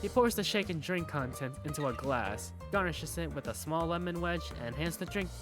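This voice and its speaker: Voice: medium pitch, lively intonation, normal speed. A narrator reading scripted first-person story narration for a game fandub. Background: background music.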